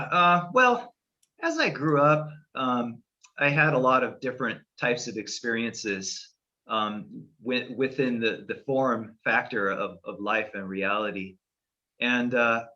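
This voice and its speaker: A man's voice: medium pitch, pushed and bright, lo-fi sound. A middle-aged man from California, a guest astrologer, talking casually and meandering with fillers and restarts on a podcast.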